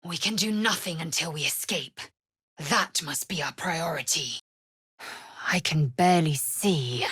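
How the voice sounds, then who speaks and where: An actress voicing a proud, fierce, scornful female alien warrior in a video game, with a husky, raspy voice and an imperious, clipped, deliberate way of speaking. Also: sits low, a bit bright, dry recording, clean studio sound, full character voice. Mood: terse, commanding. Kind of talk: video game character voice lines.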